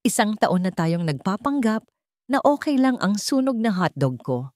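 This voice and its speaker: Lady doing a heartfelt young guy in a romance audio drama, pleading n devoted, lively pitch, super dry sound. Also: normal speed, no room sound, close to an everyday voice. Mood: earnest, tender. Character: earnest, devoted young father proposing to his love.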